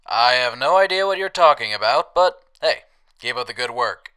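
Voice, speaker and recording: Man as a bright, cocky wasteland scrapper. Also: mid-range voice, animated, moderate pace, clear, resonant tone. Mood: breezy, teasing. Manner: bantering, cocky. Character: wry, breezy post-apocalyptic male scrap trader. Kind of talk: radio drama dialogue.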